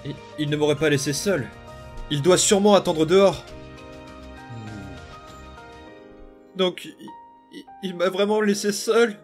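A man's voice: high voice, expressive, unhurried, long pauses, soft background music. A man playing a bitter, wounded demon boy in a dramatic comic dub, brooding and menacing. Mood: hurt, bitter.

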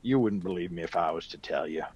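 An actor playing a gruff old soldier back from India, a rambling, emphatic storyteller fond of whiskey, in a radio drama. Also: male voice, low-pitched, quick pace, darkish tone, muffled recording, a little hiss or hum, lightly acted. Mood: grave, ominous.

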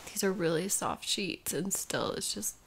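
A woman playing a sleepy, sick, clingy girlfriend in an intimate audio roleplay, speaking softly and haltingly, often near a whisper. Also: lowish voice, gravelly, a little hiss or hum. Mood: uncomfortable, complaining.

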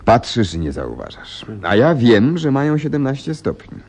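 An actor playing a coolly rational, amused and condescending gentleman detective in a radio play, lecturing in a teasing, superior way. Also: mid-range voice, huge pitch swings, moderate pace. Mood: didactic, confident. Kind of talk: Polish radio play acting.

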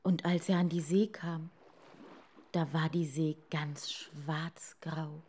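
Woman reading a bedtime fairytale, real slow, pauses for effect. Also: low-pitched, steady tone, warm tone, slightly rough. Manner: measured, dramatic pauses. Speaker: storyteller. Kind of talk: scripted fairy-tale narration for children.

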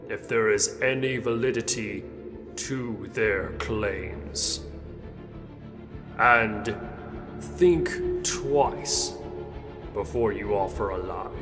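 A man playing a stern, imperious sorcerer in a comic book dub, speaking in a grave, formal, commanding manner. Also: lowish voice.

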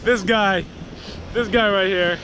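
A man chatting casually as a vlog host outdoors, excitable and exclamatory, often repeating himself. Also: high-pitched, slow pace, faint music behind, low-quality recording. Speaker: vlog host filming a fishing trip. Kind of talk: casual chat. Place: open ocean beach, outdoors. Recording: loud surf and wind noise. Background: waves and outdoor ambience.

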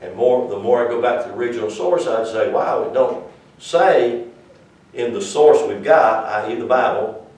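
A man's voice: medium pitch, moderate pace, darkish tone. An older man with a Southern US accent, teaching a church class in a rambling, folksy, conversational way. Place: small church classroom, indoors. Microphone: far camera. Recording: echoey room from far off.

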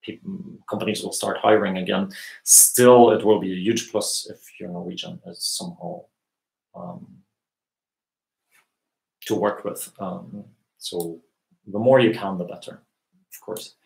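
A man in his thirties answering viewers' questions in a relaxed live lesson, pausing often with fillers, on a webcam in a small room. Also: low-pitched, gravelly. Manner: relaxed, thinking aloud with many fillers. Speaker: language teacher. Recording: echoey room.

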